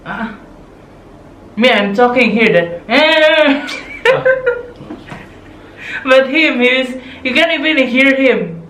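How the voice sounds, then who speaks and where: A woman with a Filipino accent, a teacher, chatting casually as host in a naturally loud voice and often agreeing with "mm". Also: high-pitched, expressive, drawn-out words, pauses often. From Philippines. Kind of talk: casual chat. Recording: echoey room, faint noise.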